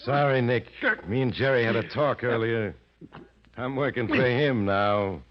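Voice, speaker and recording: An actor in 1940s radio style playing a slow-witted, deferential gangster henchman with hesitant, plain tough talk. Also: mid-range voice, natural voice. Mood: coolly apologetic. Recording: muffled old radio drama recording.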